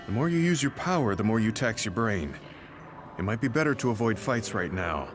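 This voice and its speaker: An ageing male veteran played by an actor in a video game, with a fairly low voice. He is steady, grave and a little weary, speaking in measured phrases over music. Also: lightly acted. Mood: advising. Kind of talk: video game cutscene acting. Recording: background music under the voice.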